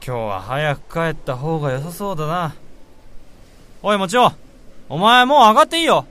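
A man acting a caring senior office worker in a Japanese radio drama, talking in a brisk, informal way. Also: fairly high pitch, very animated, sharp tone, clean tone. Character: concerned, casual senior male office worker looking after juniors.

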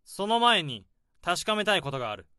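A man playing a young office worker who calmly unravels a crime in a radio drama. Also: high voice, clear, resonant tone, no room sound, clean studio sound, exaggerated voice. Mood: accusing. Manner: calm, probing, deliberate.

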